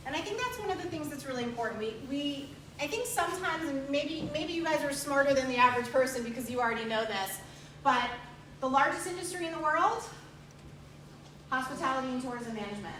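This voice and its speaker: A woman's voice: high voice, animated, fairly quick, bright, forceful tone, slight background noise. A woman giving an enthusiastic recruiting presentation about a university program, stressing and repeating key points. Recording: echoey room, far from mic.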